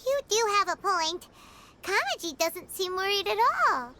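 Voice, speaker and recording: A woman voicing a small, chirpy, childlike fairy companion in a video game, with a squeaky, expressive delivery. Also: normal speed, a little hiss or hum, heavily acted. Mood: curious. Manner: refers to herself in third person, expressive.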